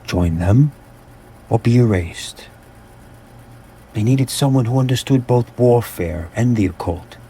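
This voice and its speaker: Male actor as battle-hardened captain, haunted, brooding, very slow delivery, theatrical audio drama narration, noisy backdrop. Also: mid-range voice, warm tone, close to an everyday voice. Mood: grim, resolute. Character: haunted, battle-hardened army captain recounting occult horrors.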